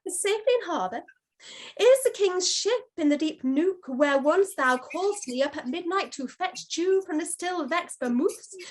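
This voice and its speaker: A woman's voice: very high voice, low-quality recording. A woman playing an airy servant spirit in a Shakespeare reading, declaiming verse in an eager, deferential, theatrical way.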